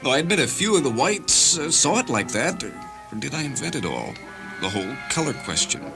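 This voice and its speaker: A man voicing an agitated, confused, halting man prone to outbursts in a dramatised story. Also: mid-range voice, gravelly, over music, voice pushed up. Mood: confused, feverish. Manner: halting, excitable.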